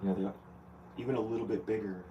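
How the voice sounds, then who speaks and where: A man with a very low, quiet voice talks loosely through his thoughts, trailing off and restarting. He sounds set back from a phone mic, with steady background noise in a room at home. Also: fast talker, distant mic, muffled recording. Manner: quiet, rambling, many fillers and restarts. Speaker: aquarium hobbyist vlogger. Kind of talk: casual talk while working on a tank. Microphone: phone mic, off camera.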